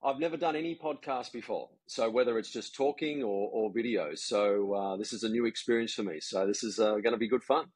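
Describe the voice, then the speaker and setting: A middle-aged Australian man, a golf coach speaking as a guest in a relaxed, rambling interview with many fillers. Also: lowish voice, brisk, hardly any pauses, slightly rough, rough recording. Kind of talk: casual interview chat. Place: home, residence in Jakarta.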